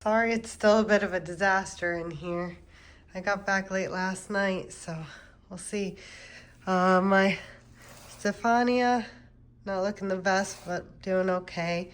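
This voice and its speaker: A woman's voice: medium pitch, unhurried, clear, resonant tone. A woman hosting a plant channel, congested from a cold, chatting casually as she walks through her home. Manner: relaxed, chatty, walking through rooms with fillers. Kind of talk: casual home-tour vlog. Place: home, moving between rooms.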